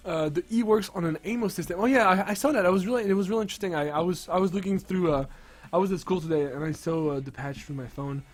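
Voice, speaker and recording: A man's voice: sits high, fast talker. Sick-sounding young gamer guy rambling. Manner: rambling, excitable, many restarts and fillers. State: sick, voice strained. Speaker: gaming streamer. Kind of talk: casual patch-notes commentary.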